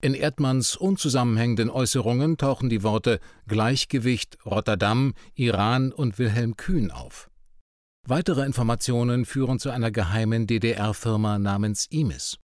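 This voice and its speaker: A man reading a scripted radio drama recap as announcer, in a measured, factual manner. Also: mid-range voice, darkish tone, no echo, clean studio sound.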